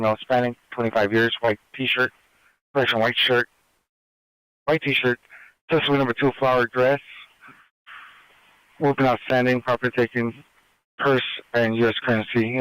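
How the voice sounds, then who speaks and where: A man giving a procedural police radio broadcast as a dispatcher, measured, repeating and spelling out details phonetically. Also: mid-range voice, flat delivery, fairly bright tone, clear, resonant tone, dry recording, rough recording. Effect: police radio transmission.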